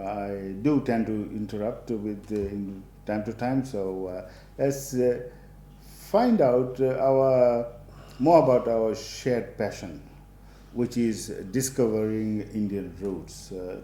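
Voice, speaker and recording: A male TV host opening and guiding an interview, often pausing on fillers. His low, mellow voice carries room echo, with faint ambience behind it. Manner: hesitant, many fillers. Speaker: TV show host. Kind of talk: interview hosting.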